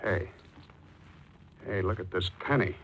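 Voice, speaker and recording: An actor playing a wry, tough, wisecracking private detective in a 1940s radio drama, narrating in slangy first person. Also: male voice, low voice, slow, a bit bright, husky, highs cut off, full character voice. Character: wisecracking hard-boiled male private detective. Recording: vintage 1940s radio recording.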